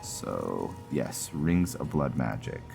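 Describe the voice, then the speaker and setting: Casual male gamer, low-pitched, thinking out loud over a playthrough, game music underneath. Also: very animated, slow, creaky. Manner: rambling, thinking aloud.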